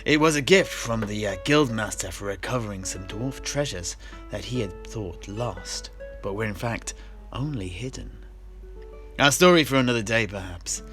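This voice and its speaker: A man's voice: on the low side, moderate pace, fairly bright tone, lightly acted. A man playing a jovial, tippling fantasy tavern keeper, telling stories in character in a hammy, hesitant, musing way. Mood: pleased, musing. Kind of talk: in-character theatrical fantasy storytelling. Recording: music behind the voice.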